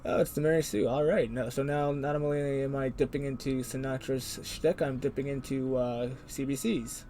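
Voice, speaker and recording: A man's voice: mid-range voice, fairly even intonation, moderate pace, mellow, slight background noise. A man hosting a casual livestream, reading the chat aloud in a joking way and laughing often. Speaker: stream host.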